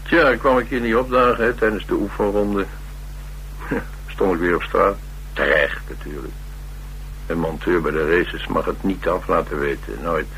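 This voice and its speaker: An actor playing a rough, hard-drinking drifter and former mechanic in a radio drama, speaking tersely with dry, slangy nonchalance. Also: male voice, low voice, moderate pace, a bit bright, poor audio. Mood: dry, guarded. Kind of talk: naturalistic Dutch radio drama acting. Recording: radio recording with background hiss and hum.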